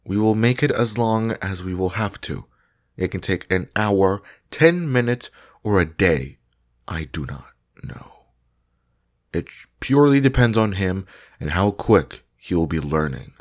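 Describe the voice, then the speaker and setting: A deep male voice acted by a man for a fantasy battle trainer. He is stern and demanding, with formal, clipped commands that expect to be obeyed. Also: animated, a little slow. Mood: stern, unyielding. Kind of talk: scripted fantasy audio roleplay.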